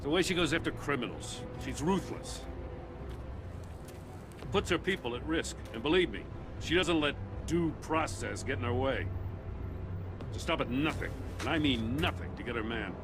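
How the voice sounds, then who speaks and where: An actor playing a world-weary, principled middle-aged police chief in a video game, plain-spoken. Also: male voice, mid-range voice, expressive, moderate pace, long pauses, over music, natural voice. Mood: troubled. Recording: outdoor city noise behind voice.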